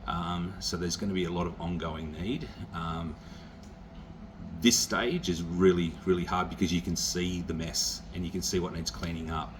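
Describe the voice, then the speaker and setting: A man with an Australian accent speaking unscripted as a visiting pastor, in a halting, plain, reassuring way to a church. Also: deep voice, fairly even intonation, background noise. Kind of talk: unscripted encouragement to a church congregation.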